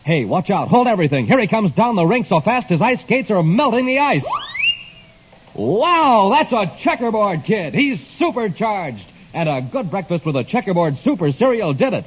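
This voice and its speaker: A man's voice: high-pitched, sing-song, normal speed. A man with an American accent announcing in 1950s radio style, with a punchy, enthusiastic hard-sell delivery. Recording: dull, hissy old radio recording.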